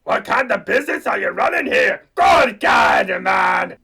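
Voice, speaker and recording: Bullying monster tycoon for comic radio play: shouted, snarling, mean, gravelly and forceful. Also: male voice, high-pitched, lively intonation, unhurried, exaggerated voice. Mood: angry, bullying. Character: booming, snarling, miserly monster tycoon villain. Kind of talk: comedic radio play acting.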